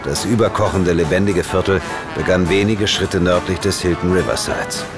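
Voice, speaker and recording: A man's voice: deep voice, normal speed. An actor playing a grim, urgent male investigator who also narrates a German audio drama. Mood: somber, suspenseful narrating. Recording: music bed behind the voice.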